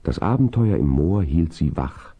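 A man narrating a 1970s children's audio drama in a measured, descriptive storytelling manner. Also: sits low, expressive, slightly rough, phone-quality sound, heavily acted.

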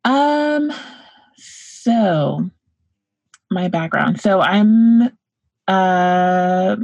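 Podcast guest, young woman from Florida, low voice, dry close sound, relaxed and filler-heavy. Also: very slow, creaky, no room sound. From Central Florida, United States. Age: young adult. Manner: relaxed, lots of "like" and "um", backchannels often. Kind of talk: casual conversational interview.

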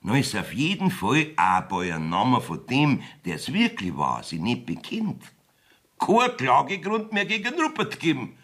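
A man's voice: mid-range voice, huge pitch swings, a little slow, fairly bright tone, exaggerated voice. A man playing a wily rustic village judge in a dialect radio play, evasive and self-serving, with a Bavarian accent. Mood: insistent. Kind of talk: dialect radio drama acting.

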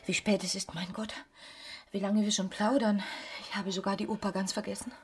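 An actress playing a romantic, melancholy married woman in a radio drama, speaking in a breathy, hushed, intimate way. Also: mid-range voice, little pitch movement, a little fast, faint music behind. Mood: flustered, yielding. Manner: wistful, sighing, intimate.

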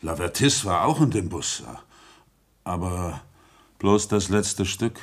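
A meek, simple farmer with a very low voice. He is hesitant and subdued, always conciliatory, in the manner of a radio play. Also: animated, slow, heavily acted. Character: meek, conciliatory farm brother, slow and simple. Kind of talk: German radio drama acting.

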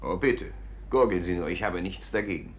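An actor in a 1950s German radio play voicing a formal, imperious gentleman collector with a husky voice. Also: deep voice, normal speed, slight background noise, lightly acted. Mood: suspicious. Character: suspicious, haughty English gentleman collector with weak heart.

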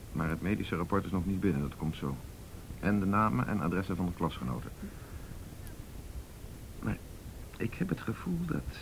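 World-weary detective, low voice, blunt and factual, radio play with faint rumbling noise. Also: male voice, vocal fry, darkish tone, exaggerated voice, rough recording. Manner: blunt, matter-of-fact. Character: blunt, world-weary male police detective briefing a colleague. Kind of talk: radio drama acting.

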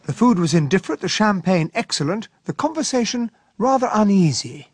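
An actor playing a gentlemanly English captain who narrates a detective case in a radio mystery drama, measured and genteel. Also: male voice, high-pitched, very animated. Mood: genteel, observant.

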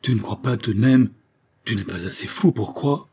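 A man's voice: lowish voice, moderate pace, dark tone, husky. A man voicing a tender but nervous young wife in a storybook reading, protesting indignantly and pleading in exclamations. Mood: alarmed.

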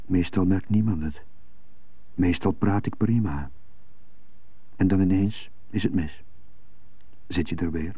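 An actor playing a troubled man with a stutter, brooding in a halting inner monologue in a radio drama. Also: deep voice, husky, no echo. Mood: tense, halting. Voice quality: stuttering on hard consonants. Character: troubled stuttering man recalling his humiliations in prison. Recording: muffled, hissy 1980s radio drama recording.

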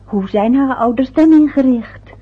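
A woman's voice: sits high, a little slow, breathy edge. An actress playing a fussy, anxious older mother in a radio play, chattering and muttering to herself. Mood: fretful. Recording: dull lo-fi old radio recording.

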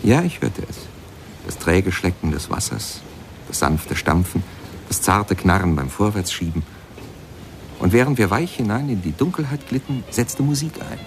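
An actor playing a reflective former steamboat pianist who tells his memories in measured, lyrical radio drama narration. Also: fairly low pitch, expressive, a little hiss or hum. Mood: dreamy, reflective. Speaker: narrator and protagonist of a radio play.

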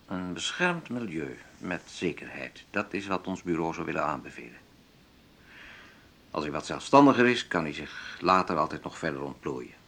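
An actor playing a formal careers psychologist in a radio drama, speaking in a measured, official manner. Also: male voice, low-pitched, fairly bright tone, heavily acted. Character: formal male careers psychologist delivering a verdict.

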